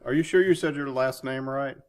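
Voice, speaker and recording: Guy on a podcast panel, middle pitch, casual chat. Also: a little fast.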